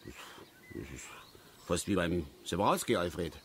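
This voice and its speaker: A man's voice: low voice, expressive, normal speed, very noisy. An actor playing an embittered, touchy middle-aged male angler who rambles and grumbles irritably in a radio play. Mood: aggrieved. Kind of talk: German radio play acting.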